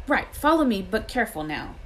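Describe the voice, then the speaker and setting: A woman voicing a gruff but kindly giant male gamekeeper from a storybook, protective and cautious, with rustic, colloquial speech. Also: medium pitch, wildly swooping pitch, brisk, a little hiss or hum, poor audio. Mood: wary, urgent. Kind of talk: storybook character voice.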